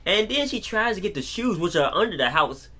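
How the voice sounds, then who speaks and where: A man giving an unscripted casual film review, excitable and rambling, often repeating his words as he retells scenes. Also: sits high, fairly quick.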